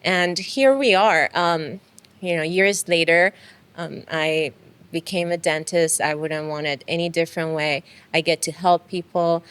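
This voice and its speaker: Soft-spoken Iranian-born woman, smooth low voice. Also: steady tone, a little slow. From Iran. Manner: soft-spoken, frequent um fillers. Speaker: guest. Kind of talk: casual interview.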